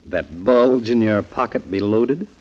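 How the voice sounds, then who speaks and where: A man's voice: on the low side, a little slow. An actor playing a wary, wisecracking man in a 1940s radio crime drama, with clipped, sardonic delivery. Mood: wary, wry. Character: wry, wary, wisecracking male friend of boxers. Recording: muffled 1940s radio recording.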